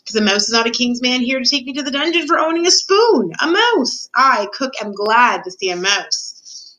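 A woman's voice: fairly high pitch, big pitch swings, normal speed, bright, forceful tone, pitched above the performer's own voice. Woman putting on a folksy, blustering palace cook. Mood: amused, conspiratorial. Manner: folksy, emphatic, colloquial speech with ain't and don't. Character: hearty, big-hearted, blustering female palace cook.

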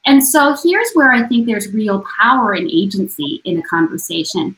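A woman giving reflective panel remarks as a scholar, in a measured, earnest and deliberate way. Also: mid-range voice, big pitch swings, a bit bright. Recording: roomy, echoing voice pickup.

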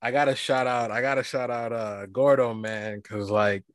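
A man from Guyana hosting a casual interview, talking in a relaxed, rambling way with lots of fillers. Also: mid-range voice, moderate pace, clear, resonant tone, no echo, clean studio sound. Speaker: podcast host.

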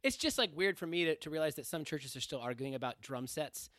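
A man's voice: fairly high pitch, expressive, fairly quick, a bit bright, dry recording, clean studio sound. A man in his thirties, a podcast host and band singer, talking casually and earnestly, often restarting his phrases. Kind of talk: casual conversation.

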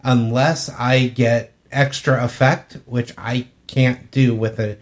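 A man's voice: mid-range voice, level tone, slow. A man speaking as a podcast panelist in a casual, conversational discussion.

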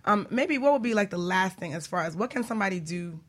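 Casting: woman radio host, bright and crisp-edged, informal, effusive, chatty delivery. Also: mid-range voice, animated, quick pace. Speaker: radio show host and business coach. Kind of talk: casual radio chat.

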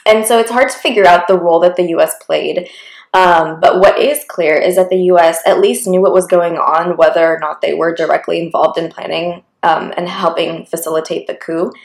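A woman's voice: on the low side, fairly even intonation, brisk, vocal fry, echoey room. A young woman co-hosting a casual history chat, chatty and wry, saying "like" often. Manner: chatty, lots of "like", wry asides. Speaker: co-host.

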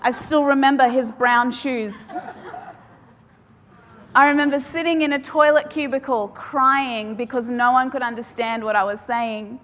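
A woman preacher, a native Polish speaker, delivers a sermon in an emphatic style, repeating key lines for effect. Her voice sits a little high. It carries with the long reverb of a large hall. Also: fairly even intonation, a bit bright, a little hiss or hum. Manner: emphatic, repeats key phrases with dramatic pauses.

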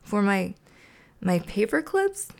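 Casting: earnest, easily flustered storybook puppet man, high and fussy, played by a woman. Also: expressive, moderate pace, creaky. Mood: crestfallen. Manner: hesitant, fussy.

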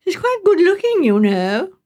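Lively, teasing young sister, as a woman narrator plays her. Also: extremely high pitch, normal speed, voice pushed up. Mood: gossipy, amused.

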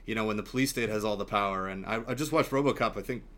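A man with a fairly low voice talks in a relaxed, conversational film discussion. He is enthusiastic but halting, often rambling as he works through his thoughts. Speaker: podcast panelist.

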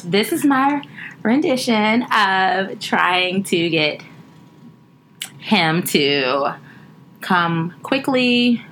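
A woman co-hosting a podcast in casual chat, giggly and a little raspy. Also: mid-range voice, expressive, drawn-out words, long pauses, fairly bright tone, slight background noise. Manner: giggly, laughs often. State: slightly raspy voice. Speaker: podcast co-host.

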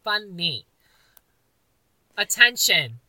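A young man with a very high voice, co-hosting a casual chat and joking in a campy, playfully dramatic way. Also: very animated, drawn-out words, sharp tone, no echo. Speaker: podcast co-host.

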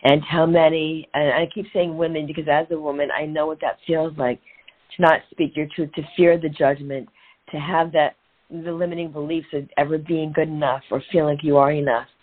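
A middle-aged woman guest telling her own story, earnest and reflective, speaking in short phrases with pauses. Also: low voice, level tone, slightly rough. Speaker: guest, author and transformational coach. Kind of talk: candid personal story in a podcast interview. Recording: tinny phone-like line.

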